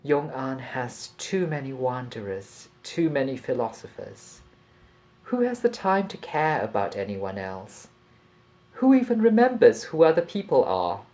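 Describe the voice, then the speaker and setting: A man reading a passage from a novel aloud in a measured, deliberate and expressive storytelling manner. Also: mid-range voice.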